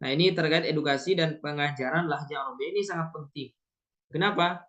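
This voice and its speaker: Indonesian guy teaching a quran reading course, kinda high voice, lots of little "ya" fillers, muffled lo-fi audio. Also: fast talker, fairly bright tone. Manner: explanatory, frequent affirming "ya" and "nah" fillers. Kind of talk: lecture at a teacher training course.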